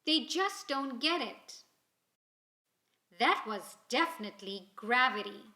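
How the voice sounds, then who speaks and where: A woman reads a children's story aloud in an expressive, deliberate storytelling manner. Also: on the high side. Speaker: storybook reader. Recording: roomy, echoing indoor sound.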